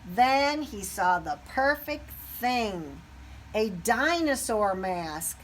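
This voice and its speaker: A woman reading a children's picture book aloud expressively, pausing to put questions to young listeners. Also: medium pitch, lively intonation, slight background noise.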